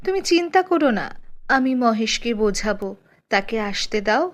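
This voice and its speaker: A woman's voice: on the high side, animated, normal speed, lightly acted. A woman voicing a caring, sensible village neighbour in a children's cartoon, reassuring and full of advice. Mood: concerned, reassuring. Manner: reassuring, advising. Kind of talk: children's cartoon character acting.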